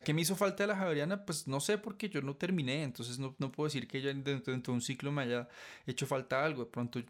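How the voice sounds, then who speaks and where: Male musician being interviewed, Colombian accent, average pitch, informal and halting delivery. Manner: hesitant, self-correcting. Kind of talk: casual interview answer.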